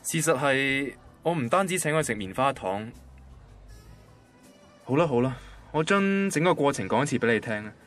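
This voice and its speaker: A man's voice: medium pitch, animated, moderate pace, fairly bright tone, soft background music, lightly acted. An actor playing an ordinary, literal-minded young male banker with a Hong Kong Cantonese accent, in a radio drama. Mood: matter-of-fact. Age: young adult. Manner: matter-of-fact, pedantic.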